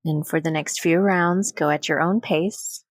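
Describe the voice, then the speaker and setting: A woman leading a guided meditation in a gentle, soothing, unhurried manner with long pauses between phrases. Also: low-pitched, fairly even intonation, no room sound.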